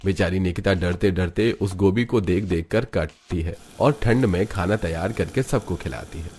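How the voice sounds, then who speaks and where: A man's voice: low voice, moderate pace, darkish tone, breathy edge, faint music behind. A man reading scripted storytelling narration for a cartoon family tale.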